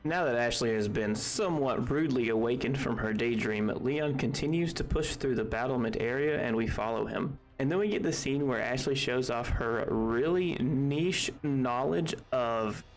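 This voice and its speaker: A man's voice: mid-range voice, normal speed, hardly any pauses, faint music behind, rough recording. A man giving recap voice-over narration for a gaming video.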